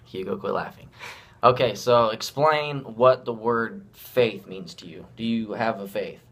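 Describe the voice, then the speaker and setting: A young student interviewer with a somewhat low voice. He asks long, meandering questions in a relaxed, casual way and mixes in his own experience. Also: unhurried. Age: young adult. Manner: rambling, personal questions.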